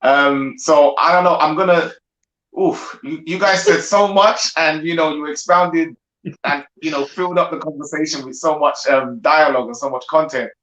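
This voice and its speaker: A man, a guest panelist, makes a measured argument over a video call and keeps checking in with "right?". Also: fairly high pitch, steady tone, a bit bright. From grew up in the United Kingdom. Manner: measured, builds his argument with frequent "right?". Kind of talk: discussion monologue. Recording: echoey room.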